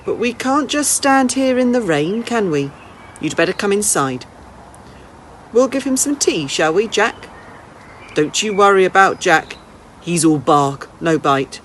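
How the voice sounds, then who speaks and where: A woman voicing a small, brisk, chatty old lady in a children's storybook, blunt and questioning but kindly underneath. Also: mid-range voice, expressive, slight background noise, lightly acted. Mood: brisk, welcoming. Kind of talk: children's storybook character reading.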